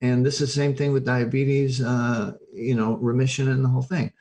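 A man's voice: mid-range voice, level tone, moderate pace, a little mellow. An older man giving explanatory interview answers as a recovery coach, emphatic and plain-spoken, stressing his points in short bursts.

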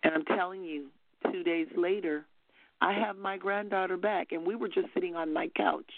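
An older woman minister, soft-spoken but fervent, telling a story as testimony on a call-in broadcast. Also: on the low side, fairly even intonation, normal speed, vocal fry, no echo. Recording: phone-line audio.

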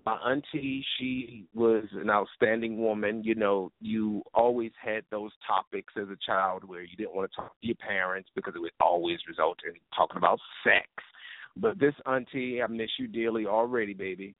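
A man's voice: fairly low pitch, fairly even intonation, moderate pace. A man hosting an internet radio show in a casual, informal, rambling, slangy way. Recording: roomy, phone-like internet radio line.

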